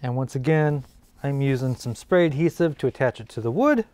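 A man's voice: mid-range voice, fairly quick, no echo. A man in his forties hosting a woodworking channel, explaining each step casually and thinking aloud as he works in an indoor workshop. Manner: relaxed, thinking aloud while working. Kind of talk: casual workshop how-to narration.

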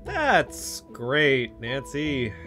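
An actor playing an excitable, anxious amateur investigator in an audio fiction podcast, speaking haltingly and emotionally. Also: mid-range voice, faint music behind, heavily acted. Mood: subdued.

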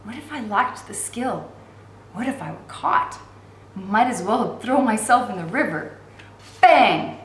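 A woman in her forties reading a novel aloud as an expressive narrator. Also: mid-range voice, measured pace. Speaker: reader of a novel for learners. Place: indoor room at home. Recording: roomy echo with faint background noise.

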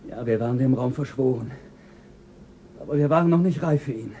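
A man's voice: medium pitch, fairly quick, dark tone, a little hiss or hum, natural voice. An actor playing a bitter, resigned male astronaut confessing on a recording in a German radio drama.

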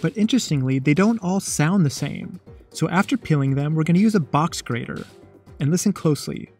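A man's voice: fairly high pitch, lively intonation, brisk, darkish tone, husky, faint music behind. A man narrating a cooking lesson in a scripted voice-over with a playful, jokey tone. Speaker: cooking show host.

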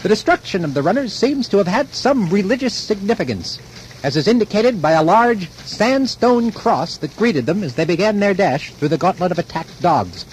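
Male radio-drama narrator, high lively voice, declamatory mock-epic storytelling, faintly noisy old broadcast. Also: moderate pace.

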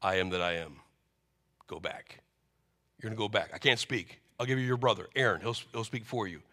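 A man voicing God speaking from a burning bush, grave and commanding in a low voice. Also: brisk, bright, forceful tone.

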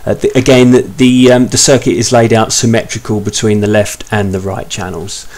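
A man's voice: on the low side, level tone, moderate pace, darkish tone. A man in his thirties giving an informal, step-by-step repair commentary as a hobbyist, explaining things a little hesitantly.

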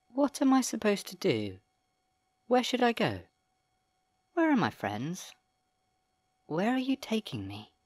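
A man's voice: sits high, huge pitch swings, takes it slowly, long pauses, no echo, studio quality, pitched above the performer's own voice, full character voice. A man reading an audiobook, voicing a curious, polite girl of nearly eleven who asks questions in a lazy, dreamy way. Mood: wondering.

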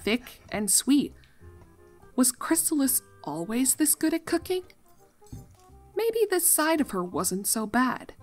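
A woman reading a fan fiction story aloud as an expressive narrator. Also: high-pitched, slow, pauses often, faint music behind.

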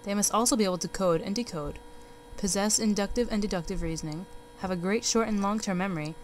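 A woman's voice: medium pitch, fast talker, slight background noise. A young woman, a student narrator, reading a formal documentary script. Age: young adult. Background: music bed.

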